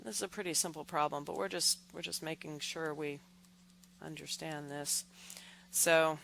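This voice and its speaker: A woman explaining as an engineering instructor, step by step, in deliberate, halting phrases with repeated words. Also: deep voice, steady tone, creaky, fairly bright tone, slight background noise.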